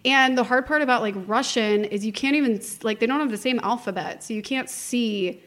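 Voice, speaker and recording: A woman's voice: on the high side, fairly quick, fairly bright tone. Young woman opera singer on a podcast, laughs a lot, pokes fun at her own kind. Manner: laughing, candid, self-mocking. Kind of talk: casual chat.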